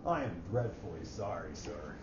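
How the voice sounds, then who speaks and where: An actor plays a prim little male clerk with a sly streak, polite on the surface and theatrical underneath. His mid-pitched voice moves in big swoops. The live stage recording is echoey and noisy. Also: expressive, moderate pace, muffled recording. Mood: meek, innocent. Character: meek, precise male filing clerk, slyly scheming. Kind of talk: first-person story narration and staged dialogue.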